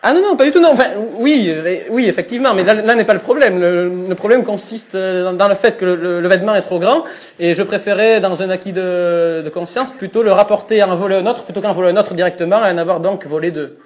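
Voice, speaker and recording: A man with a French accent making a prank phone call, mock-formal and deadpan, fighting back laughter. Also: high-pitched, fast. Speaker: prank caller.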